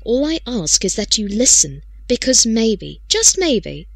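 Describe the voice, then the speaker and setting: A woman's voice: fairly high pitch, very animated, measured pace, gravelly, no room sound. A woman reading first-person fiction as an audiobook storyteller.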